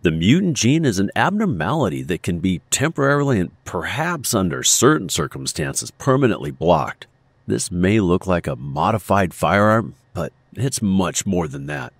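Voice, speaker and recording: Actor as an earnest male inventor, low animated voice. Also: normal speed, breathy edge, no echo, full character voice. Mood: measured, explanatory. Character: earnest, cautious male weapon inventor, principled and worried. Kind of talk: audio drama acting.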